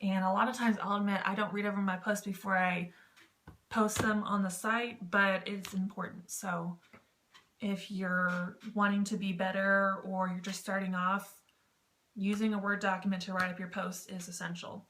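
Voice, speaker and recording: A woman's voice: mid-range voice, level tone, moderate pace, fairly bright tone, a little hiss or hum. A woman vlogger giving informal advice in a rambling, slightly self-conscious way. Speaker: YouTube vlogger. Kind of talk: casual advice vlog on online text roleplay.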